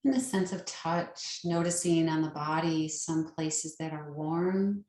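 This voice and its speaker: A woman leading a guided meditation as a yoga nidra teacher, with a soothing, gentle and unhurried manner. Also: deep voice. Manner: soothing, unhurried, gentle instruction with long pauses. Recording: roomy, echoing sound.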